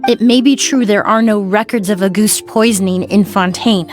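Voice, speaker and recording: An actress playing a composed, sharp-minded female investigator in a video game, speaking in a measured, formal, probing way. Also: mid-range voice, soft background music, close to an everyday voice. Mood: accusing.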